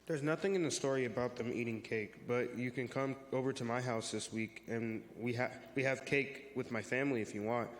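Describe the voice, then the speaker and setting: Casting: earnest male youth for church reader's theater, played by a man, explanatory and friendly, mid-pitched, heard in a reverberant hall. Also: brisk, few pauses, close to an everyday voice. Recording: echoey hall with long reverb.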